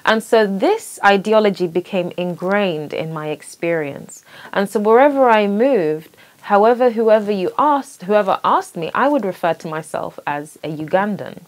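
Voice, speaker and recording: A woman's voice: medium pitch, animated, normal speed. A young woman born in Sweden, hosting and speaking thoughtfully and earnestly about her identity, with small restarts. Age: young adult. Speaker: host. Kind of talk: reflective personal monologue.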